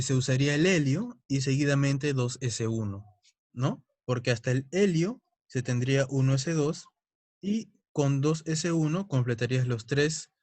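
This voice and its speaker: A man's voice: mid-range voice, a little mellow, no room sound. A young man teaching a chemistry lesson, explaining step by step and often checking in with his students. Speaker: chemistry tutor. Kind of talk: recorded online lesson.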